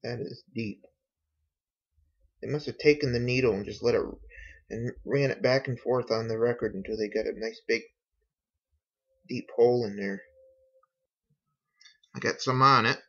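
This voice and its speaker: A man giving a casual how-to narration in an unhurried, folksy way, talking through each step as a hobbyist. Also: mid-range voice, fairly even intonation, a bit bright. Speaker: hobbyist demonstrating a repair. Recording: dull, lo-fi home recording.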